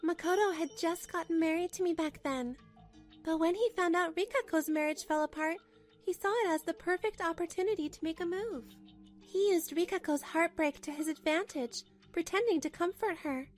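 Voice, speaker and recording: A woman's voice: very high-pitched, moderate pace, soft background music. A woman narrating a manga dub as the wronged wife, in a chatty, dramatic inner monologue.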